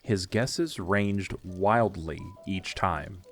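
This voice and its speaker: A man narrates an audio story in a low voice. He reads scripted prose, with music playing faintly underneath. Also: extremely slow.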